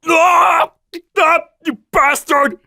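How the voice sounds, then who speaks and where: A woman voicing a scheming, excitable male paparazzo in a manga dub, loud and given to yelping. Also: very high voice, extremely slow, vocal fry, dry recording, clean studio sound, heavily acted. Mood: panicked, angry. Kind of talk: exaggerated manga dub acting.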